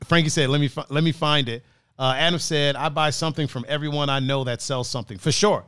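A man hosting a casual podcast chat, talking in a playful, animated way full of slang and laughter. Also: a little high, fast, clear, resonant tone, dry recording, studio quality. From another country, raised partly on the East Coast. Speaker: podcast host.

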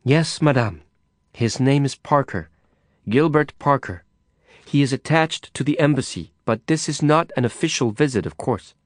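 A man voicing a blunt, cynical young woman who talks tersely and in hushed tones in an audiobook reading. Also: mid-range voice, expressive, normal speed, no echo, studio quality, pitched above the performer's own voice, natural voice.

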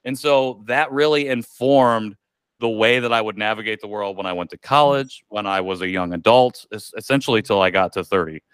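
A man in his thirties talks through a personal story as a podcast guest, reflective and unhurried, with plenty of likes and ums. His voice sits a little low and comes across smooth and clear. The recording is dry and close. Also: fairly bright tone, dry recording. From United States.

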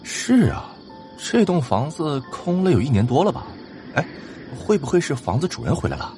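An inquisitive junior-sounding police partner in a radio play. His fairly high voice moves up and down freely, exclaiming and questioning, with music underneath. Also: big pitch swings, normal speed, mellow. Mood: curious. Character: curious, easily startled male patrol officer. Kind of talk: audio drama acting.

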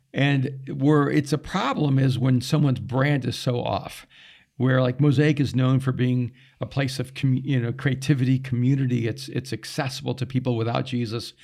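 Gravelly man from El Salvador, animated storyteller. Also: medium pitch. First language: Spanish. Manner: animated storyteller with quoted asides. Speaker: pastor and podcast guest. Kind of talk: casual conversation.

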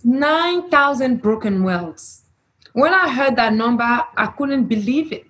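A woman's voice: fairly high pitch, expressive, slow pace, a bit bright. Earnest woman. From Burkina Faso. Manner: earnest, emphatic, non-native grammar. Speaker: panel speaker, grassroots activist. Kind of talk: panel discussion.

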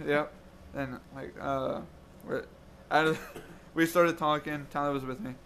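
A young man telling a story in a rambling, halting way, with short laughs. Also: on the high side, steady tone, fairly bright tone, a little hiss or hum. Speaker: podcast co-host. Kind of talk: casual chat.

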